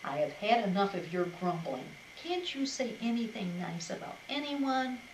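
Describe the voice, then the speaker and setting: A woman voicing a cheerful female rabbit in a storybook, with a high, eager, squeaky way of talking. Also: big pitch swings, normal speed, roomy sound, background noise, close to an everyday voice. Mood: scolding.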